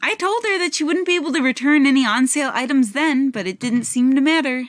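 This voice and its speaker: Woman as geeky young female coworker, high pitch, wry, playful, dry studio-close sound, visual novel game. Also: fast, no echo, faint music behind, lightly acted. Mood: wry, teasing. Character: wry, teasing young female bookshop coworker, gamer geek.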